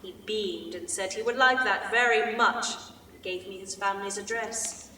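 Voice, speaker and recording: A woman reading the part of an earnest, wistful young British soldier in a staged letter narration, measured and expressive. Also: mid-range voice, lightly acted. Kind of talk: staged reader's theater, scripted letter narration. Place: large theater stage indoors. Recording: echoey stage, distant mic, noisy.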